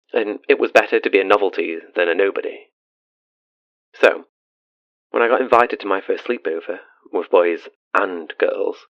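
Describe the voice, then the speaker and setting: A man's voice: deep voice, vocal fry, dry recording, muffled recording. A man playing an awkward, earnest, haunted radio caller making a hesitant confession in an audio drama. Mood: haunted, fearful. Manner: hesitant, self-deprecating, earnest.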